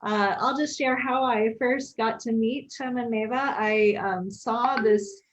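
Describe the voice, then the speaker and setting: Woman, host, slightly high voice, friendly upbeat chat, video call. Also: fairly even intonation, unhurried. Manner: upbeat, friendly, with frequent fillers.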